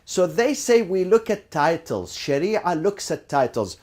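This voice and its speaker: A man with a fairly high voice gives a classroom lecture. He keeps asking "what?" and then answers himself, repeating phrases to drive the point home and dropping in Arabic legal terms as he goes. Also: big pitch swings, measured pace, a little mellow. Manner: asks rhetorical questions and answers them, repeats phrases for emphasis. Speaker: teacher.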